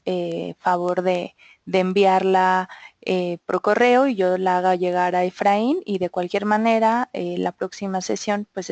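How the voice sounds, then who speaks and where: A woman's voice: lowish voice, a little mellow, no room sound. Polite woman moderating, dry sound. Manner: polite, with frequent "eh" fillers. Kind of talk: webinar moderation.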